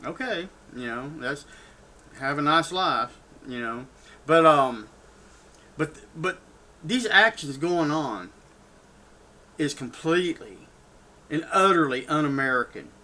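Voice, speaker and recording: A man's voice: fairly high pitch, lively intonation, slow, long pauses, sharp tone. An older man with a Texan accent, ranting off the cuff on his own channel, rambling and heated, with many restarts and asides. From Texas. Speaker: political vlogger. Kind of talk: off-the-cuff rant.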